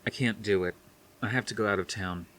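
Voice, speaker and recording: A determined man in a hurry, speaking forcefully and firmly, as a narrator voices him in an audiobook. The voice is fairly low and close to the reader's own. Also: fairly quick, a little hiss or hum, natural voice.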